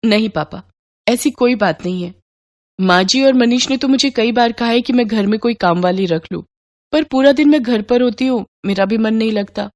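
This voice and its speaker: A woman's voice: on the high side, moderate pace, darkish tone, dry recording, studio quality, close to an everyday voice. A woman voicing a loyal young married daughter, polite but firm, defending her in-laws in a moral story. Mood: reassuring.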